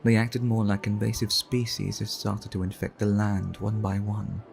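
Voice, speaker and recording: Suave, low, gravelly vampire gentleman acted by a man. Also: level tone, soft background music. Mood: refined, confiding. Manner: formal, courteous, measured, flirtatious. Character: suave, refined male vampire art collector. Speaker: ASMR roleplay performer. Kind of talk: ASMR roleplay.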